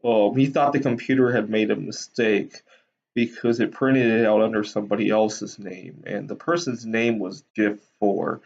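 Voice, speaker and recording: A man from Taiwan casually telling personal anecdotes to viewers in a hesitant, halting way with frequent ums. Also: lowish voice, fairly even intonation, gravelly, a little mellow. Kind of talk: casual rambling storytelling. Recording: dull lo-fi sound.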